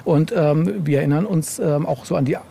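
Guy being interviewed, soft mellow tone w some fry, feels his way through sentences, keeps adding tag questions. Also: fairly high pitch, moderate pace, slight background noise. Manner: hesitant, searching delivery with frequent tag questions. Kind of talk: casual interview talk.

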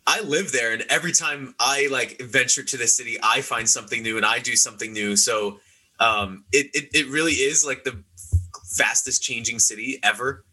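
Bright-voiced young American man. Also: medium pitch, moderate pace, slightly rough, low-quality recording. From New York, USA. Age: young adult. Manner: chatty, many fillers and restarts. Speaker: podcast co-host. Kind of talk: casual chat.

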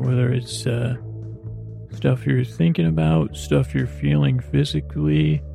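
A man hosting a sleep podcast in a creaky, lulling voice, meandering through a bedtime monologue with long pauses and halting trail-offs. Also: mid-range voice, mellow. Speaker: podcast host. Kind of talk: rambling bedtime monologue meant to lull listeners to sleep.

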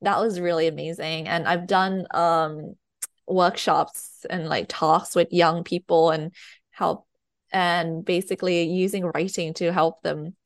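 Gravelly-voiced Thai-born woman novelist. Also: fairly low pitch, fairly even intonation, a little slow, dry recording. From Thailand. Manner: hesitant, laughs often, many fillers. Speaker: guest novelist. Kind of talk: casual interview chat.

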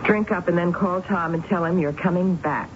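An actress playing a reclusive, easily flustered and disapproving sister in a radio drama, with a clipped, indignant manner. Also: female voice, lowish voice, moderate pace, lightly acted. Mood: stern, curt. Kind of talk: radio drama acting with first-person narration. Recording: dull, noisy old radio drama broadcast.

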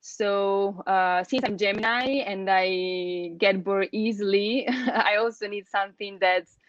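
A young Italian woman talks casually in English with an Italian accent, leaning on fillers and restarts and breaking into short laughs. Her voice sits at a middle pitch, recorded dry and slightly dull, as over a lo-fi call. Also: slow, no room sound. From Italy. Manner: chatty, many fillers and restarts, laughs often. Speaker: guest, email account manager. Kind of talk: casual interview chat.

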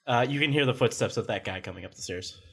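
A young man narrating as game master in a tabletop role-playing game, casual and quick to laugh and swear. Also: medium pitch, gravelly, fairly bright tone, breathy edge.

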